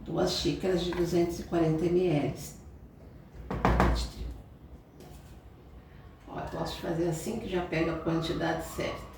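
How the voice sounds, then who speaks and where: A woman's voice: on the low side, flat delivery, normal speed, gravelly, long pauses, background noise. Chatty Brazilian woman in echoey kitchen. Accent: Brazilian Portuguese. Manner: chatty, explains step by step, frequent "tá bom?". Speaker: cooking channel host. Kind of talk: home cooking tutorial. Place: home kitchen. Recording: echoey kitchen audio from far off.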